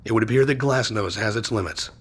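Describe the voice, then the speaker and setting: An actor playing a calm, clipped veteran male agent in a game cutscene. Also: fairly low pitch, fast talker, slightly rough.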